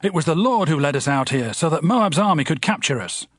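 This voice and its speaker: Anxious, defiant king for Bible audio drama: raised pitch, rough husky edge, dramatic emphasis. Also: animated, brisk, no echo, clean studio sound. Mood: alarmed.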